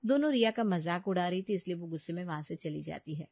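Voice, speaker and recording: A woman's voice: on the low side, expressive, quick pace, no echo, highs cut off. A woman reading scripted narration for a story as its narrator.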